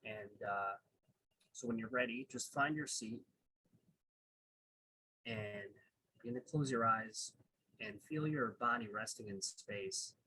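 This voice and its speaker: A man leading a guided meditation, speaking in unhurried short phrases with pauses between them. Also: medium pitch, sharp tone, husky, poor audio.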